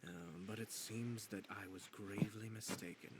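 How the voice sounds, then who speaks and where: An actor voicing a courteous, shrewd young nobleman in a video game, speaking in a measured, coolly condescending way. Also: fairly low pitch, steady tone, a little hiss or hum, close to an everyday voice. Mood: cool, restrained. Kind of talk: scripted game voice acting.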